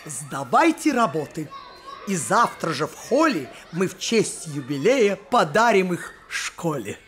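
A woman's voice: lowish voice, big pitch swings, unhurried, music in the background. An actress playing a stout schoolteacher who speaks in a formal, declamatory way to her class. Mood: businesslike. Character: stout schoolteacher Marya Ivanovna, solemn and proper. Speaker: teacher.

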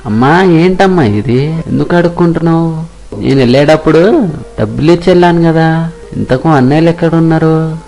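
A man's voice: a little high, fairly quick, gravelly, a little mellow, soft background music. Man playing a kind, earnest young fisherman. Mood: indignant. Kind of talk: acted moral story dialogue.

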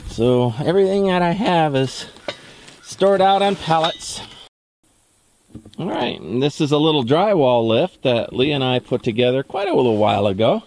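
Man chatting casually over clear background noise. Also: on the high side, big pitch swings, normal speed, darkish tone. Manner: informal, thinking aloud. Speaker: DIY home-building vlogger. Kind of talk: casual narration while working. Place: construction site, outdoors and in a small unfinished insulated room. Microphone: camera microphone, sometimes across the room.

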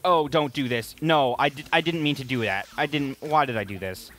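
A man's voice: medium pitch, a little fast, fairly bright tone. A man doing casual gaming commentary, joking, teasing and swearing freely. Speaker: let's play host.

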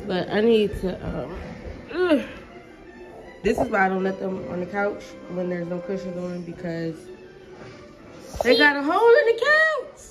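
A woman's voice: mid-range voice, big pitch swings, measured pace, long pauses, music in the background, low-quality recording. A young woman, a stay-at-home mom, chatting casually to her phone camera in her living room, rambling with lots of "um" and "like". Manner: rambling, chatty, many fillers like "um" and "like". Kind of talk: casual clean-with-me vlog. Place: apartment living room, indoors. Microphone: phone camera at arm's length.

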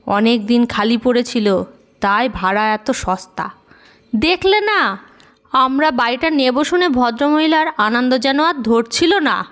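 A woman's voice: on the high side, unhurried, a bit bright, no echo. A woman playing an emphatic, stubborn young wife and mother in an audio story. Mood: eager, excited. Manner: eager, emphatic, chiding.

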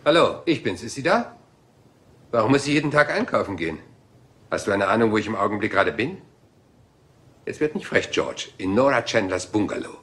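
An actor playing a rumpled, persistent police inspector in a dubbed crime drama, polite and meandering. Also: male voice, fairly low pitch, animated, a little fast, long pauses, fairly bright tone, slightly rough, heavily acted.

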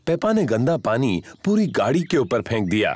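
A narrator telling a children's cartoon story in a scripted, expressive storytelling style. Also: mid-range voice, moderate pace, slightly rough. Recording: music behind the voice.

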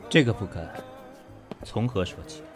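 Guy voicing composed proud businessman in his 30s, sarcastic, low voice, very animated intonation, audio drama. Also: unhurried. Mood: polite but defiant. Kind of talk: Chinese audio drama acting. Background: background music.

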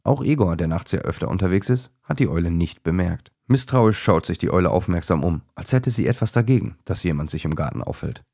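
A man's voice: low-pitched, expressive, brisk, gravelly, hardly any pauses, dark tone, no echo, clean studio sound. A man narrating a scripted children's bedtime story.

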